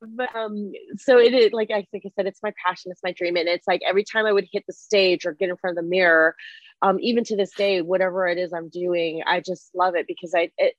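A woman's voice: on the low side, steady tone, fairly quick, muffled recording. A young woman from Canada whose first language is Mandarin, chatting as an interview guest with many fillers and restarts. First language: Mandarin Chinese. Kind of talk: casual interview conversation. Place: Airbnb room.

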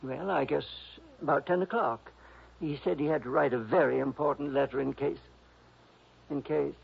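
A dull old radio recording of a sweet, eccentric old male composer. His voice sits rather high and has some creak. He rambles dreamily and hesitates as he goes, in 1960s radio drama style. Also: steady tone, moderate pace. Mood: worried, hesitant. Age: older. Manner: rambling, fluttery, hesitant, chuckling.

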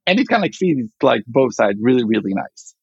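A man's voice: mid-range voice, big pitch swings, a little fast, creaky, breathy edge, no echo, muffled recording. A man with an Israeli accent, a startup founder answering interview questions in a rambling way, full of fillers and restarts.

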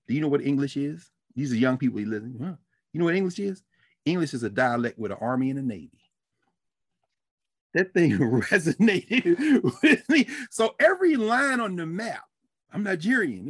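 A middle-aged man co-hosting a casual talk show, an animated storyteller who laughs easily and drops into low asides. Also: breathy edge, no room sound, studio quality. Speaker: podcast co-host. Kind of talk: casual conversational discussion.

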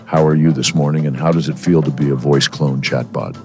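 A man's voice: rumbling low, fairly even intonation, a little fast, dark tone, faint music behind. A male radio co-host with a stuffy cold, presenting a scripted segment in a wry, dramatic way.

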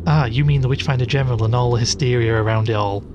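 A man's voice: mid-range voice, fast, muffled recording, lightly acted. A man playing a sceptical, curious interviewer in an audio drama, questioning a strange tale with some disbelief. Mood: incredulous. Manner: questioning, incredulous. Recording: clearly noisy recording.

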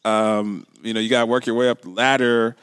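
A middle-aged man hosting a casual conversational interview, speaking haltingly and repeating words as he thinks. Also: medium pitch, flat delivery, fairly bright tone, smooth, no room sound, clean studio sound. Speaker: podcast host.

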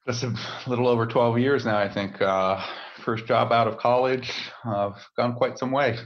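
A man in his thirties, a native French speaker who grew up in the United States near Washington DC, answering interview questions in a relaxed, measured way with many filler pauses. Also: mid-range voice, lo-fi sound, a little hiss or hum. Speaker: podcast guest. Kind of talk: conversational interview. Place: home setup abroad, remote call.

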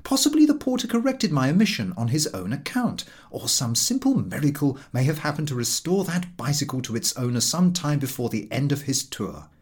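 Man, audiobook narration, comic travel tale, very varied intonation, slightly rough tone, wry, measured. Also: a little high, very animated. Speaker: audiobook reader.

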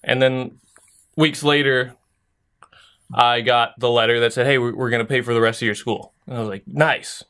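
A man's voice: medium pitch, steady tone, moderate pace, fairly bright tone, smooth. Laid-back young man telling a story. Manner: loose, joking storyteller who laughs often. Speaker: podcast co-host. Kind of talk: casual podcast chat.

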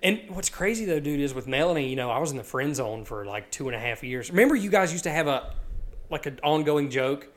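A man in his twenties co-hosting a podcast, speaking frankly and swearing freely in relaxed chat. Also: fairly high pitch, fairly quick. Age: 20s. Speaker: podcast co-host. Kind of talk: casual chat.

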